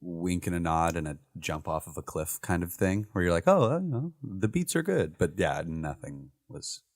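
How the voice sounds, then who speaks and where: A man's voice: low voice, animated, moderate pace, no echo, studio quality. A man co-hosting a casual movie podcast, agreeing often and giving blunt opinions. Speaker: podcast co-host.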